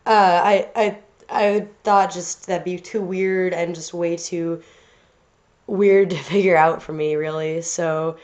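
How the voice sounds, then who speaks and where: A woman's voice: fairly low pitch, steady tone, slow pace, a little mellow. A woman with a stuffy nose talking casually and rambling over a drawing video, with lots of fillers and restarts. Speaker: art channel host. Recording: slightly dull lo-fi home recording.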